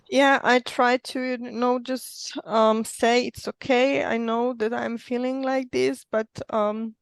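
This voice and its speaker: A woman from Slovenia with a Slovenian accent, sharing her experience as a coaching client in hesitant, careful English, often pausing to search for words. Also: fairly high pitch, level tone, vocal fry, clean tone, no room sound, rough recording. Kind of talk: personal account in a group coaching session.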